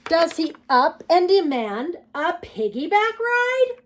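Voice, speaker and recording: A woman hosting a children's storytime, reading picture books aloud in an expressive, sing-song way and talking directly to young listeners. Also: very high voice, slow, a little hiss or hum.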